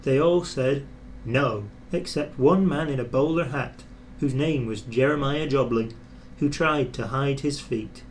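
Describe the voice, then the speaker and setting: A man narrating a children's storybook in an expressive scripted reading. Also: mid-range voice, unhurried, slight background noise, low-quality recording. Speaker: storybook reader.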